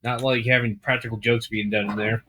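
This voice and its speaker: A man in casual podcast chat with a middle-pitched voice. He jokes around and often restarts his sentences midway. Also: flat delivery, fairly quick, pushed and bright. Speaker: podcast co-host.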